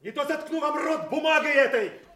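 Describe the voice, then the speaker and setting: An actor playing a stern, outraged duke in a classical radio drama, speaking in an imperious, forceful manner. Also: male voice, very high-pitched, moderate pace. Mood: outraged, commanding. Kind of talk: classical stage-style radio drama acting. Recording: echoey audio play recording.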